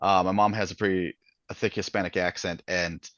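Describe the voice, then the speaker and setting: A man's voice: low-pitched, little pitch movement, brisk, fairly bright tone, no room sound, dull audio. A man from Minnesota with a Minnesotan accent, chatting in an author interview, rambling with lots of fillers and restarts. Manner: rambling, many fillers and restarts, laughs often. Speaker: guest author. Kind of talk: casual interview chat.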